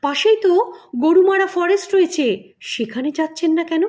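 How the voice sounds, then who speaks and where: A woman's voice: very high voice, lively intonation, normal speed, a little mellow, voice pushed up. A woman voicing a curious, teasing young man in an audio story, with a direct, playful way of asking.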